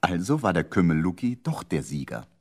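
Deep-voiced, animated male storyteller. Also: a little fast, slightly rough, soft background music. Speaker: narrator. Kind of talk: scripted children's radio-play storytelling.

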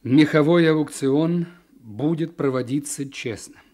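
Mellow, earnest official. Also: male voice, a little high. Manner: measured, educated, probing questions. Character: earnest, inquisitive male official, new district chief. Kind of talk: Soviet radio drama acting.

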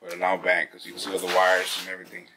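Male explorer-vlogger, low register, informal slangy commentary, clearly noisy location audio. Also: completely flat delivery, rapid-fire, distant mic. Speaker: vlog host exploring an abandoned hospital. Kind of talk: casual vlog commentary to viewers and companion. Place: on location exploring abandoned hospital buildings and grounds. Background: clear background noise.

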